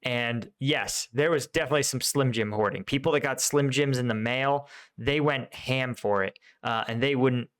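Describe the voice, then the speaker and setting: An American man, a military veteran host, gives off-the-cuff reaction commentary in a halting, explanatory way, often checking in with the listener. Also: medium pitch, a bit bright, smooth.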